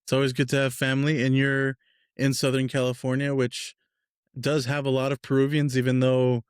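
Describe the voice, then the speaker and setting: A man from Peru hosting a casual podcast interview, relaxed and upbeat, talking loosely with fillers. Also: medium pitch, a little fast, smooth, dry recording, clean studio sound. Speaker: podcast host.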